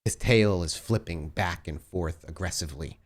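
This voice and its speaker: A man working as game master, narrating a tabletop game in a cinematic, deliberate and dramatic way. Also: sits low.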